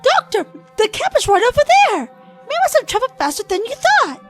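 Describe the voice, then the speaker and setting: A woman acts an earnest, anxious young companion in an audio drama. The voice is extremely high and swoops widely, yet the words are stiffly precise, over clear music. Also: wildly swooping pitch, fairly quick, exaggerated voice. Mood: concerned. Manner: avoids contractions, stiffly precise diction.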